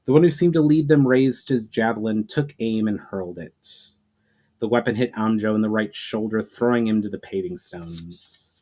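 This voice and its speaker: A man's voice: on the low side, normal speed, dark tone. A man reading an adventure story aloud in a dramatic narration style, starting phrases emphatically and letting them trail off.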